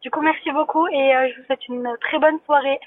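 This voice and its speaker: High-voiced woman on a tinny line. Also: fairly even intonation, brisk, slight background noise. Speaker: guest caller. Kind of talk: polite farewell on a live stream.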